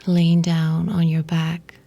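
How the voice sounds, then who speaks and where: A woman guiding a yoga nidra meditation in a breathy, whispery, husky voice, soothing and unhurried, with pauses between short phrases. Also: sits low, monotone, dark tone, highs cut off, soft background music. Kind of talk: guided meditation narration.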